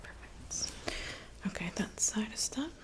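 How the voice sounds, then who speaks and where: Whispering woman in an ASMR roleplay. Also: measured pace. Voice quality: soft breathy whisper. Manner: gentle, reassuring, attentive personal-attention talk. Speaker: ASMR performer. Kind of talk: whispered ASMR roleplay as a witch doing hair, makeup and potions.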